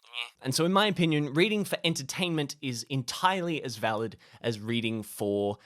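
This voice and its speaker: A man's voice: mid-range voice, lively intonation, a little fast, no room sound, clean studio sound. A man giving casual advice to camera in a playful, self-deprecating way with comic asides. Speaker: YouTube creator sharing tips.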